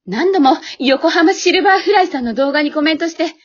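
An amateur actress playing a modest, earnest housewife YouTuber in a radio drama, speaking politely and hesitantly. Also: female voice, high voice, animated, a little fast, lo-fi sound. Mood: grateful.

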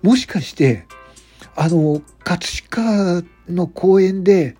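Man acting humble male comedian, deferential, hesitant, dark husky voice, radio drama, background music. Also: high-pitched, lively intonation, drawn-out words, voice pushed up, exaggerated voice. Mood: astonished. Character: polite, modest male comedian, easily surprised.

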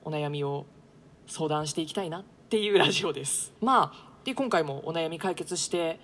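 A woman's voice: low voice, animated, moderate pace, a bit bright. A woman hosting a radio show in a polite, chatty, casual way. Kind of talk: casual co-host chat.

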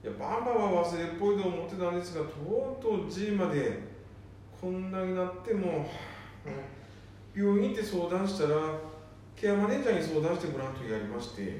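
A worried son acted by a man frets in folksy Nanbu dialect, in a high voice for a man. It is a homemade radio drama, with heavy echo and a distant microphone. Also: a little mellow. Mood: troubled. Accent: Nanbu dialect, northern Tohoku. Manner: folksy, fretting. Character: worried, exasperated eldest son of a wandering father. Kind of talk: amateur radio drama acting. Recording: very echoey room, distant mic, faint noise.

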